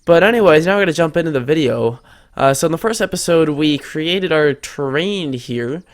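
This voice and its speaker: A man's voice: on the high side, animated, normal speed. A teenage boy hosting a casual game tutorial, chatty and thinking aloud as he builds, his nose a little stuffed up. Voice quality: slightly congested. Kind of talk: casual screen-recorded tutorial.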